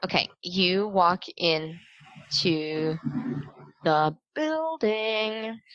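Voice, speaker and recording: A woman's voice: fairly low pitch, lively intonation, drawn-out words, no echo, highs cut off. A woman narrating a tabletop game as game master in an informal, joking, conversational way.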